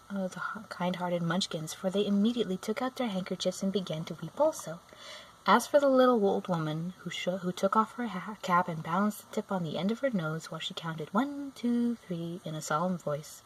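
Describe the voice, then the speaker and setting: A woman reading a children's story aloud as an amateur narrator, a little halting as she goes. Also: medium pitch, distant mic, a little hiss or hum, rough recording.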